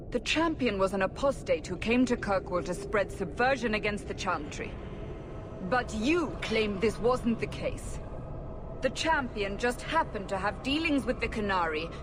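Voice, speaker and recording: Intense female inquisitor, accusatory, forceful questions, fairly high voice, game cutscene, noisy ambience. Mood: disbelieving. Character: stern, accusing female interrogator, zealous and intense. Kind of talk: video game cutscene acting.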